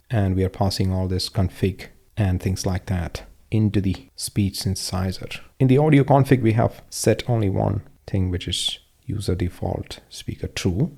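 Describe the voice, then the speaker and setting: Low, gravelly Indian man teaching code. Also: warm tone. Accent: Indian English. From Kerala, India. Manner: conversational, explaining step by step with asides. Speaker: course instructor. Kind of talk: screen-share coding tutorial.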